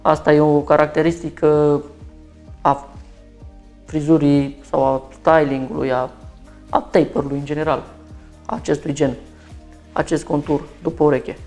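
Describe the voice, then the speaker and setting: A man's voice: on the high side, flat delivery, long pauses, a little mellow, soft background music. A man in his thirties from Romania, a barber who explains each step of a haircut while he works, talking through it in a small shop.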